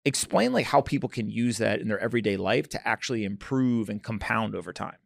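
A man's voice: on the low side, expressive, a little fast, vocal fry, no echo, studio quality. Joking, loose-talking male podcast host. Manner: loose, joking, informal slang. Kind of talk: casual conversational interview.

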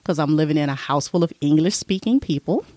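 Deep-voiced woman rambling through her life story, lots of "you know", dry sound. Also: quick pace, dark tone, no echo. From born abroad, raised in military family. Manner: chatty, storytelling, many "you know" fillers. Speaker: interview guest. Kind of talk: casual life-story interview.

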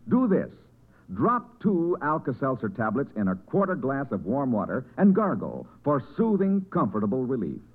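Male vintage radio pitchman, very animated intonation, narrow phone-like sound. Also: husky. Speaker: commercial announcer. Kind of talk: old-time radio advertising read. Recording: tinny vintage radio recording.

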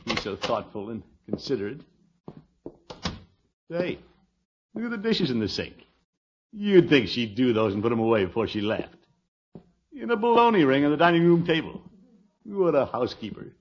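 An actor playing a pompous, fussy middle-aged American uncle who flusters easily, in a theatrical old radio comedy style. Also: male voice, medium pitch, big pitch swings, normal speed, long pauses, breathy edge. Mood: grumbling. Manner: theatrical, exclaiming, grumbling. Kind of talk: 1940s-50s American radio comedy acting. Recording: muffled old radio recording, faint noise.

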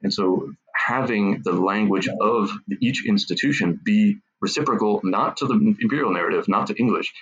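A lower-pitched man with a husky edge, thinking aloud as he answers in an interview. He is from Massachusetts and speaks haltingly, restarting sentences and repeating words. The recording sounds dull and slightly lo-fi. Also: fairly bright tone. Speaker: author and academic.

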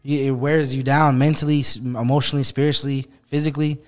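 A man's voice: mid-range voice, quick pace, soft background music. Easygoing man rambling as podcast guest. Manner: relaxed, rambling, many fillers. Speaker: podcast guest, musician and activist. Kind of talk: casual podcast chat. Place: podcast studio in Los Angeles.

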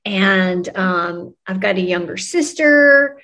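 A woman's voice: medium pitch, animated, slow, sharp tone. A woman from New Mexico, a podcast guest, chatting casually with frequent ums and laughter. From New Mexico, USA. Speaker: podcast guest, music publicist. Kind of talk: casual conversational interview.

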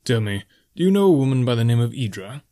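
A man's voice: medium pitch, big pitch swings, fast, mellow, lightly acted. A man voicing a weary, guarded mercenary in a fantasy audiobook, with a dry, brooding way of muttering. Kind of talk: fantasy audiobook character dialogue.

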